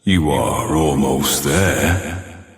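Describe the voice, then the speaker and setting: A cavernous echo surrounds a proud, ancient immortal from a video game. The voice is very deep and moves extremely slowly. Every phrase is formal and haughty, with menacing amusement toward lesser mortals. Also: male voice, heavily acted. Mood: condescending. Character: proud, ancient superhuman being who tests mortal challengers. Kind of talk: scripted video game character acting. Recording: cavernous echo with long reverb.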